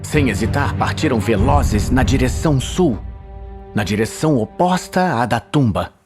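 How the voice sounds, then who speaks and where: A man's voice: medium pitch, expressive, normal speed. A man with a Brazilian accent reading a dramatic scripted fantasy story as narrator. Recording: music bed behind voice.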